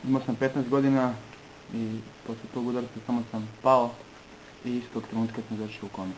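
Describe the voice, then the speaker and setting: A man from Serbia giving an earnest personal testimony in short phrases, pausing between them for an interpreter. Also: mid-range voice, flat delivery, a little mellow, slight background noise, low-quality recording.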